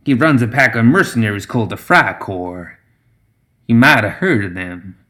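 A man's voice: mid-range voice, expressive, normal speed, bright, forceful tone. A shrewd backwoods woman, as a male narrator plays her, businesslike and in charge. She talks in a folksy, matter-of-fact way, suited to a fantasy storybook.

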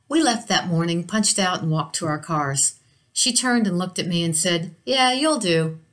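A woman's voice: on the low side, a bit bright. A woman telling a first-person ghost story in a conversational way, acting out the quoted speech as she reads. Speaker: reader of a listener's story. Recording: music behind the voice.

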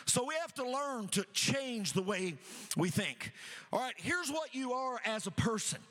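A middle-aged man preaching an energetic sermon, repeating phrases rhythmically and calling on the congregation to answer. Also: very high voice, unhurried, big-room sound. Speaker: pastor. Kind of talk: energetic sermon with call-and-response.

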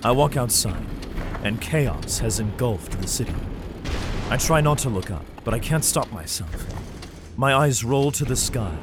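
A man's voice: mid-range voice, sing-song, unhurried, noisy background. An actor playing a grim, protective father in a science fiction audio drama, somber and guarded as he tells his story. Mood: haunted. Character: grim, protective father fleeing alien invasion with his children. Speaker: narrator and lead character. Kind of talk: dramatic first-person audio drama narration. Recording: music under the voice. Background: dramatic music.

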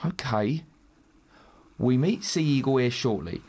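An actor as a nerdy, nervous smuggler, swooping pitch. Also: big pitch swings, measured pace, a little mellow, slightly rough, full character voice. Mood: businesslike. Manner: earnest, rambling, colloquial. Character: nerdy, nervous, naive smuggler, keen on birds and shells. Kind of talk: audio drama acting.

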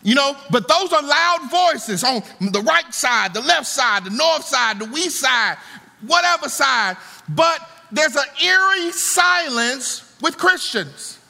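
A man preaching a sermon to a congregation, swinging from soaring lines to low, conversational asides. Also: expressive, slow, vocal fry, pushed and bright. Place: church auditorium. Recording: long hall reverb.

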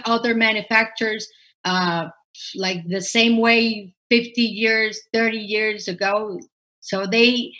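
A woman from Costa Rica with a Spanish accent, a guest answering interview questions in a halting way. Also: mid-range voice, pushed and bright, low-quality recording. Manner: halting, many fillers and restarts. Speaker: podcast guest, sourcing expert.

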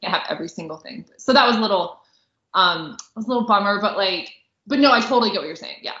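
Bright-voiced woman in an echoey room. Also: mid-range voice, animated, fast talker. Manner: informal, conversational, agreeable. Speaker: podcast co-host reviewing films. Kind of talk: casual film chat. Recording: roomy, echoey, dull lo-fi audio.

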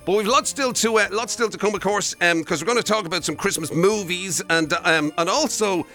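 A man's voice: high voice, fairly quick, sharp tone, soft background music. A man with an Irish accent co-hosting a breakfast radio show in casual, bantering chat, often circling back over his words. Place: radio studio.

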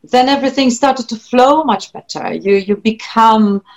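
A woman with a Polish accent, a singer-songwriter speaking as an interview guest, thoughtful, with frequent restarts and soft laughs. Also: fairly high pitch, normal speed. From Poland. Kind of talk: reflective interview talk.

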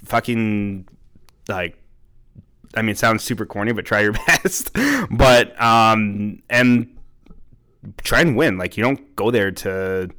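A man's voice: fairly low pitch, slow pace, pushed and bright, smooth. A man co-hosting a podcast in casual chat, rambling with lots of fillers and laughing and swearing easily. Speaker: podcast co-host.

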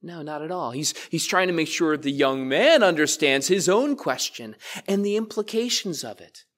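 A man's voice: fairly high pitch, lively intonation, normal speed, fairly bright tone. A man preaching a sermon as pastor to his congregation, talking to them directly and casually. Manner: conversational, addresses congregation directly. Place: church.

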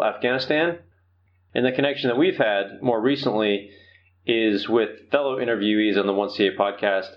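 A man with an American accent hosting an interview podcast in a polite, orderly, explanatory way. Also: medium pitch. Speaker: podcast host. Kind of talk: interview hosting, prepared introduction. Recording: slightly dull, lo-fi podcast audio.

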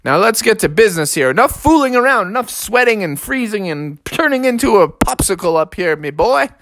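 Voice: medium pitch, big pitch swings, fairly quick, fairly bright tone, no echo, voice pushed up. A hearty storybook father bear, acted by a storyteller, full of bluster and reassurance. He talks in folksy, old-fashioned exclamations. The sound is close and dry. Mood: brisk, instructive.

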